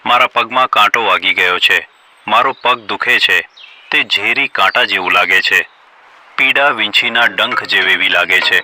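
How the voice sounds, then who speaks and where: A man's voice: lowish voice, normal speed, pushed and bright. A man voicing a clever, polite donkey who speaks deferentially and coaxingly in a children's cartoon story. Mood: pleading. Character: clever, polite male donkey pleading with a lion king. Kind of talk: animated children's story voice acting.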